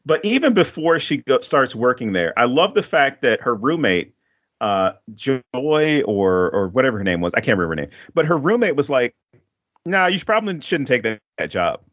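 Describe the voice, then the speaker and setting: Male podcast host, casual and rambling, given to laughs, mid-pitched, slightly muffled audio. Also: big pitch swings, moderate pace, a bit bright. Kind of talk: casual film discussion.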